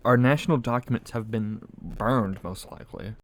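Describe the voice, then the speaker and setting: A man's voice: lowish voice, normal speed, very noisy, lightly acted. A man playing a grim, despairing broadcaster in amateur audio drama dialogue. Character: grim, despairing broadcaster mourning a lost history.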